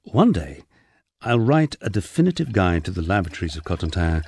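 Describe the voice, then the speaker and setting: A man narrating a first-person memoir from a script, with a husky voice and a wry storytelling manner. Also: sits low, big pitch swings, fairly quick, a little mellow, slight background noise. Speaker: audiobook narrator.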